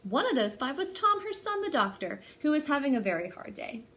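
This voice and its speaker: A woman hosting a library storytime, speaking to young children in an animated way as she presents and reads a picture book aloud. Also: high-pitched, a little fast.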